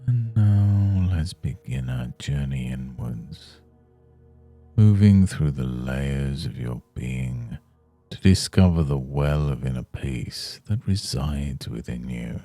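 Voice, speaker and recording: A man leads a guided meditation in an extremely deep, husky voice. He speaks slowly and soothingly, leaving long pauses between measured, scripted phrases. Soft music plays faintly behind him. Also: expressive.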